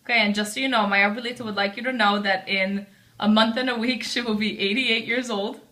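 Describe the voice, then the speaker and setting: Bright-voiced woman interviewing her grandmother. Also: medium pitch, fairly even intonation, brisk, poor audio. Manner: friendly, prompting questions. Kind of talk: casual family interview.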